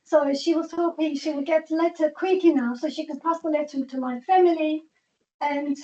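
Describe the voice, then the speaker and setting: A high-pitched woman recounts her hospital stay to a meeting, pausing and restarting as she searches for words. The sound is dull and lo-fi, like plain meeting-room audio. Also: fairly even intonation, mellow. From Turkey. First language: Turkish. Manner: halting, restarts and non-native phrasing. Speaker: patient sharing her story. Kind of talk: personal testimony at a meeting.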